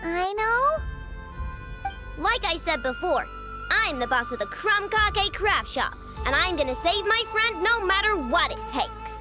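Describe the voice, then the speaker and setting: Very high-pitched feisty little girl. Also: sing-song, unhurried, over music, full character voice. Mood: bold determined. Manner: cute, bold, determined. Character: feisty little girl inventor, self-declared boss, fiercely loyal. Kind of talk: animated video game voice acting.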